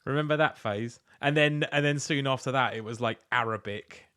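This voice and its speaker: A man's voice: medium pitch, moderate pace, fairly bright tone, clean tone, no room sound, studio quality. A British man chatting casually as a podcast co-host, in a blokey, rambling, sweary way. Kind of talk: casual chat.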